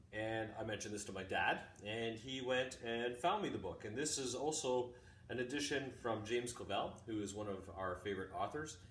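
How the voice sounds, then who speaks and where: A middle-aged man, a teacher reading aloud in a deliberate way, pausing often between short phrases. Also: fairly low pitch, a bit bright. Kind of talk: informal introduction and measured read-aloud of a classic book. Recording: roomy, distant mic, faint background noise.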